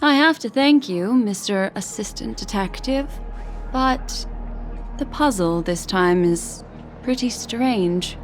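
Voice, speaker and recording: A woman's voice: medium pitch, soft background music, close to an everyday voice. Woman playing a composed, enigmatic lady detective. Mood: composed, curious. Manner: measured, polite, knowing. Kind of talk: video game voice acting.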